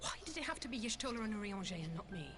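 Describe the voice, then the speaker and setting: A woman's voice: mid-range voice, sing-song, rapid-fire, natural voice. An actress voicing a worried, self-blaming young woman scholar in a video game cutscene, speaking earnestly in formal wording. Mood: anxious, downcast. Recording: game audio with music behind.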